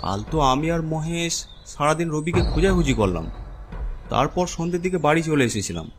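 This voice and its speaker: A man's voice: fairly high pitch, expressive, fairly quick, over music. A man reading a scripted horror story as its narrator.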